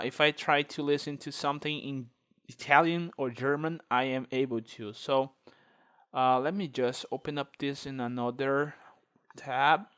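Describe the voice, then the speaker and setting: A man's voice: medium pitch, fairly bright tone, smooth. A man whose first language is Portuguese, speaking English with a Portuguese accent, hosting a screen-recorded tutorial and thinking aloud with many fillers. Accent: Brazilian Portuguese. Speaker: tech video host.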